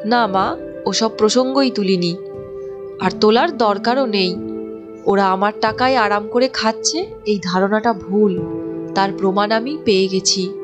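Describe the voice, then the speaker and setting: A woman's voice: medium pitch, unhurried, over music, lightly acted. A woman playing a sincere, dutiful young married daughter in a cartoon story, speaking in an earnest, reassuring way. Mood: heartfelt. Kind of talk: Bengali cartoon story acting.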